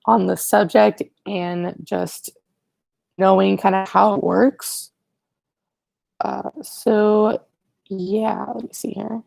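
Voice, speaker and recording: Raspy-voiced teenage boy on a livestream. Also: high-pitched, level tone, takes it slowly, pauses often, a little mellow, no room sound. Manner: rambling, self-correcting, talks to viewers. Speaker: YouTuber hosting a livestream. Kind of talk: casual livestream chat. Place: living room, indoors. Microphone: earbud headset mic, webcam.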